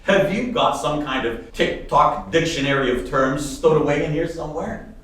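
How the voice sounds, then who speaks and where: An actor playing a warm-hearted, exasperated nephew in a radio play, speaking in an earnest, wry, articulate way. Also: male voice, a little high, moderate pace, slight background noise, natural voice. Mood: amused disbelief. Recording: echoey room, distant mic.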